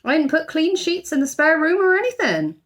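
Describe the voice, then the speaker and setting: A woman's voice: high-pitched, sing-song, a little fast, fairly bright tone, pitched above the performer's own voice. A woman voicing a fierce, grumbling older housekeeper from a children's storybook, blunt, fussy and full of exclamations.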